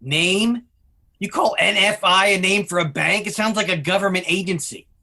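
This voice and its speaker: A man's voice: high-pitched, moderate pace, sharp tone, heavily acted. A man playing a skeptical, wordy former banker in a scripted table read.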